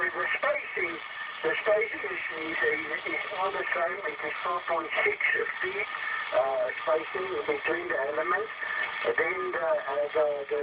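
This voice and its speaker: A man talking over two-way radio as an operator, halting and repeating his words as he explains things. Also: high voice. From South Africa. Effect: two-way radio transmission. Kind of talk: radio contact chat explaining a homemade antenna. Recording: very noisy, muffled shortwave radio signal.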